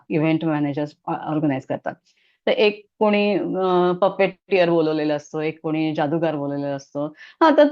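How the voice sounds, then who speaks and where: A middle-aged woman from Maharashtra talking as an interview guest, telling anecdotes conversationally in an animated manner. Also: mid-range voice, highs cut off.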